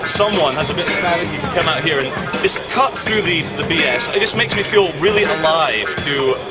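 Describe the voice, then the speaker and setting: A man with a high voice speaks earnestly and outspokenly in a street exchange, repeating words as he goes. He is recorded outdoors under loud music. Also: expressive, fairly quick, a loud crowd. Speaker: street activist.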